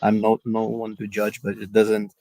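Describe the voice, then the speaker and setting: A man with a fairly low voice argues on a video call. He hesitates often and fills gaps with "like" and "uh." His sound is close and dry. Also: completely flat delivery, normal speed, mellow, no room sound. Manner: hesitant, full of "like" and "uh" fillers. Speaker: debate guest.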